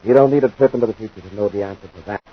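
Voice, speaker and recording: A man's voice: fairly low pitch, racing, lightly acted. An American man playing a decisive, authoritative space patrol commander in 1950s radio adventure style, with clipped delivery. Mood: calm, assured. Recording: dull, noisy 1950s radio recording.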